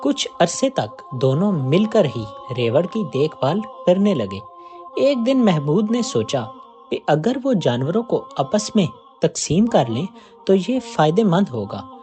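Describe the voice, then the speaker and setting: A narrator telling a scripted moral story in an expressive, audiobook-like way. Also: medium pitch. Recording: music behind the voice. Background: background music.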